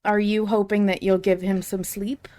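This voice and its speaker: A woman with a mid-pitched voice co-hosts a podcast in an easy, casual chat. She is playful and joking, and leans on informal slang. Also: little pitch movement, moderate pace, dark tone. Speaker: podcast co-host.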